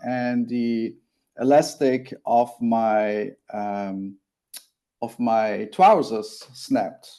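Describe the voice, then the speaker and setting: Belgian man, forties, native Dutch speaker, interview guest; earnest, halting, warm and mellow tone. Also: medium pitch. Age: 40s. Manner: earnest, halting with frequent restarts. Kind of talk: interview, personal story.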